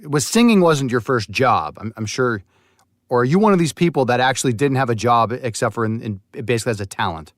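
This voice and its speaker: A man's voice: medium pitch, expressive, fairly quick, no echo. A man in his forties hosting a casual interview from his home studio booth, asking questions in an easygoing way. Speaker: podcast host.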